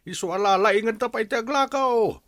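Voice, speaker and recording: A man's voice: sits high, normal speed, a bit bright, no echo. A man playing a scheming, exclamatory buddy in an Ilocano comedy drama, with an Ilocano accent. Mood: amused, scheming. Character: scheming comic buddy hawking leaves as cures.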